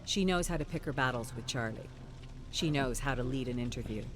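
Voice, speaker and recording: A narrator reading scripted game story narration in a measured, expressive way. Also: medium pitch, soft background music.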